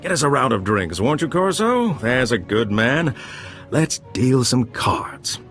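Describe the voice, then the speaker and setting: A man's voice: mid-range voice, very animated, measured pace, fairly bright tone, soft background music. A man playing a suave, genteel gambler and information broker with an urbane, teasing manner in a video game. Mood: amused, smooth.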